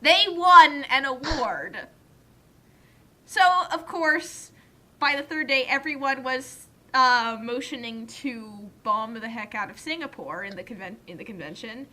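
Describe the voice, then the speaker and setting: A woman's voice: on the high side, measured pace, sharp tone. A young adult woman giving casual comedic commentary in an emphatic, nerdy, argumentative way.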